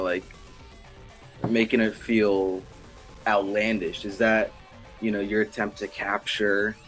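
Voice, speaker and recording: A man interviewing a musician, asking wordy, descriptive questions in a prepared but informal way. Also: lowish voice.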